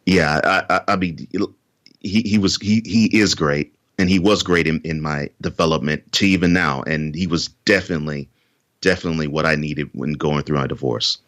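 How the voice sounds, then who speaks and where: A man with a very deep voice speaks earnestly as a guest on a podcast. He grew up in Houston, Texas, and often repeats a word before his sentence gets going. The sound is dry, with little room echo. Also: moderate pace, a bit bright. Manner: earnest, repeats words when starting sentences. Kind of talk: interview conversation.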